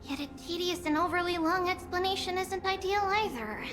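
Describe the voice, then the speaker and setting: An actress playing a graceful storyteller in a fantasy video game, speaking in a measured, lyrical, theatrical way. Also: female voice, extremely high pitch. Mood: musing, bright. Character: graceful woman storyteller reciting an ancient myth. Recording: game music loud behind the voice.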